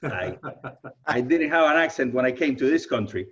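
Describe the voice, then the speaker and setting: A man's voice: medium pitch, animated, normal speed, a crowd in the background. A man from Cuba with a heavy Cuban Spanish accent, giving an enthusiastic keynote presentation over a video call. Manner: enthusiastic, friendly, addresses audience as "you guys". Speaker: keynote speaker. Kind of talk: virtual presentation talk. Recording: muffled lo-fi video call audio.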